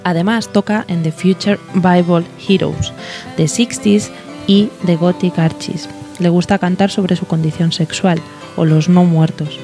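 A voice-over interpreter narrating and translating the answers in an even, read-aloud delivery. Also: mid-range voice, a little slow, faint music behind.

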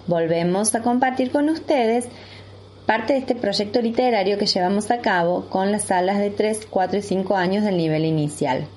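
A woman's voice: medium pitch, moderate pace, darkish tone. A woman with an Argentine accent, a kindergarten teacher speaking to young children as a storyteller. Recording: clear background noise.

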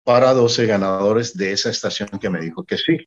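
A man's voice: lowish voice, fairly quick, highs cut off. A man from Mexico, a music promoter, telling stories from his career in an interview and acting out the conversations. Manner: animated anecdote-telling, acting out dialogue. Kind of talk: storytelling in an interview.

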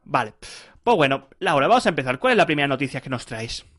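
A man's voice: mid-range voice, sing-song, quick pace, a bit bright, no echo, studio quality. A man hosting a podcast interview, chatty, with fillers and repeated words. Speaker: podcast host. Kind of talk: casual interview chat.

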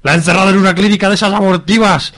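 Male actor as impulsive lover, comic radio serial; high-pitched, forceful, blunt and brash. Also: steady tone, rapid-fire. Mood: brash, scheming. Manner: melodramatic, blunt. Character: brash, hot-headed young lover, crude and impulsive. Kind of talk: amateur comic radio soap acting.

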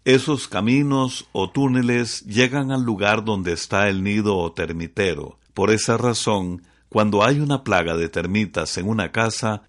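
A man co-hosting an educational radio program, giving clear explanations. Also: lowish voice.

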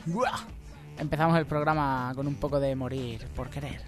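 A man's voice: medium pitch, steady tone, moderate pace, over music. A man with an accent from Spain, hosting a comedy radio show in joking, casual banter. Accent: Spanish from Spain. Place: radio studio.

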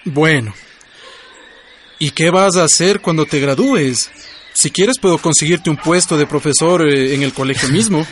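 An actor playing a friendly, educated school mentor in a radio drama, inquisitive and encouraging. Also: male voice, a little high, unhurried, a little hiss or hum, close to an everyday voice.

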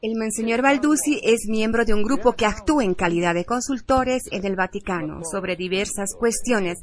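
A woman's voice: medium pitch, normal speed. A woman presenting radio news, reading scripted headlines and reports. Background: music.

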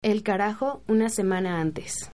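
A woman's voice: mid-range voice, a little slow, darkish tone. A woman reading scripted narration for a radio drama.

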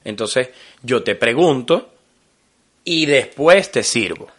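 Un hombre con acento venezolano, copresentador de un podcast, que conversa en tono informal, bromista y lleno de jerga. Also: male voice, mid-range voice, slow pace, slightly rough. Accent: Venezuelan Spanish. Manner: joking, animated, full of slang. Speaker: podcast co-host. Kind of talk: casual chat.

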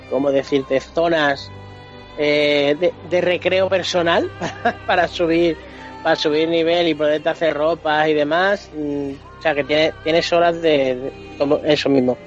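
A man with a Spanish accent from Spain, co-hosting a casual video game chat in colloquial, sweary language. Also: fairly high pitch. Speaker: podcast co-host. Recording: muffled lo-fi podcast, music underneath.

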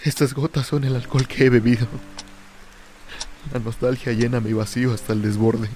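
An actor playing a lonely, tormented drunk sinking into fear and madness in a brooding, theatrical radio drama monologue. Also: male voice, medium pitch, level tone, normal speed, darkish tone, a little hiss or hum, close to an everyday voice. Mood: brooding, melancholic. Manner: brooding, theatrical first-person soliloquy.

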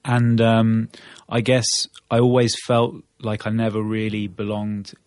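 A man's voice: lowish voice, completely flat delivery, measured pace, a little mellow, no room sound, clean studio sound. A young Englishman from Norwich, a guest on a radio chat, answering thoughtfully with many ums and a few laughs. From Norwich, England. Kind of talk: casual interview answers.

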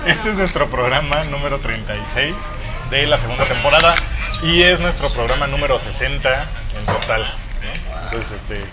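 A man hosting a radio talk show, relaxed and chatty. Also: medium pitch, normal speed, over loud music. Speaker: radio host.

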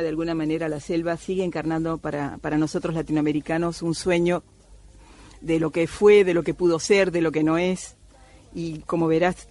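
A woman's voice: deep voice, a little mellow. A middle-aged woman from Cuba, an anthropologist, speaking thoughtfully with many hesitations in a radio interview. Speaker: anthropologist guest.

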